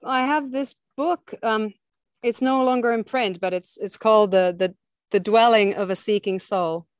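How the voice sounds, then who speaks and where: A woman from Finland, a guest poet who reads her poems slowly and chats with soft laughs. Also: medium pitch, smooth, dry recording, clean studio sound. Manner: slow, measured recitation with soft laughs in between. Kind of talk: reading her poems aloud and chatting.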